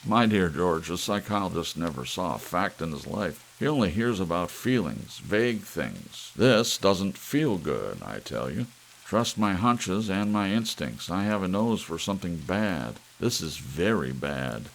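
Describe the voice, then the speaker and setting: A blunt psychologist, acted by a male reader, lectures with grave emphasis. The voice is low and creaky. It belongs to an audiobook drama, with faint rain and hiss behind. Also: sing-song, measured pace, full character voice. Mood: grave, dismissive. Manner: emphatic, admonishing. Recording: audible hiss with rain-like noise.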